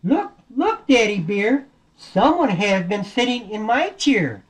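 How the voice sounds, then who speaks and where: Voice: medium pitch, sing-song, slow, pitched above the performer's own voice. A storyteller voicing a caring, fretful mother bear in a children's storybook tale. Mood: dismayed.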